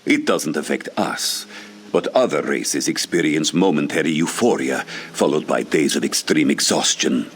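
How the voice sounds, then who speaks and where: An actor playing a disdainful cat-person bard in a fantasy game, speaking haughtily and dryly. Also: male voice, a bass voice, expressive, normal speed, slight background noise. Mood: scornful. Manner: haughty, dry, refers to himself in third person. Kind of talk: scripted fantasy game dialogue.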